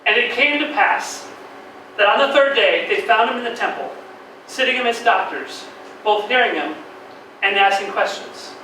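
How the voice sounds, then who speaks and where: Fussy dramatic man played by an actor, high bright voice, echoey stage from afar. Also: lively intonation, moderate pace, far from the mic. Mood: solemn. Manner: fussy and dramatic, reading scripture solemnly. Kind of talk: stage play performance. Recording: echoey stage heard from afar, faint noise.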